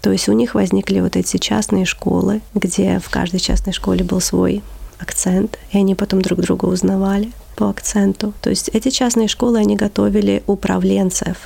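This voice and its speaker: A woman's voice: mid-range voice, flat delivery, moderate pace, creaky, warm tone, breathy edge. A woman, an English teacher who runs a children's theater, talking expressively as an interview guest and sometimes dropping to a whisper for emphasis.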